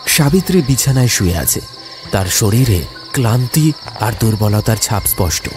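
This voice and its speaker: A man's voice: lowish voice, expressive, normal speed. Man narrating horror, dramatically swooping delivery. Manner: dramatic, suspenseful. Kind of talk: scripted horror story narration. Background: music, insect sounds.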